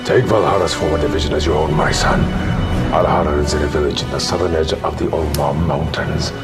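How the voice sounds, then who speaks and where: Man playing a very deep-voiced, menacing tyrant king. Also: steady tone. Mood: sinister, gloating. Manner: slow, measured, sardonic, commanding. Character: cold, menacing, imperious older male tyrant king with sorcerous power. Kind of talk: fantasy radio theater acting. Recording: loud music behind the voice.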